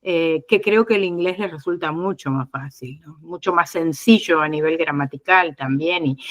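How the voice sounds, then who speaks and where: Chatty Argentine woman explaining. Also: fairly low pitch. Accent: Argentine Spanish. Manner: chatty, explains with many fillers and restarts. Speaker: interview guest. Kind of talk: casual conversation.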